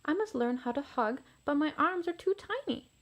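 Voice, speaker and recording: Earnest little boy T. rex for a storybook, played by a woman, high voice, small and determined. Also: animated, moderate pace. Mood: pleading. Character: tiny young male T. rex, earnest and determined.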